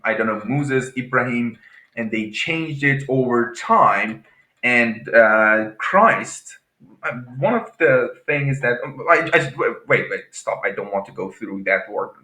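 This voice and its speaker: A man whose first language is Farsi, speaking in halting English with frequent restarts and repeated words during a casual debate. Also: medium pitch, animated, sharp tone, echoey room, rough recording. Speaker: agnostic guest.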